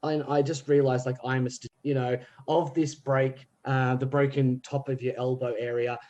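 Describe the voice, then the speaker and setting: Man, 30s, casual podcast chat, relaxed, restarts, middle pitch. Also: normal speed, lo-fi sound. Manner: relaxed and chatty, with frequent "yeah" and restarts. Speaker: theatre group member.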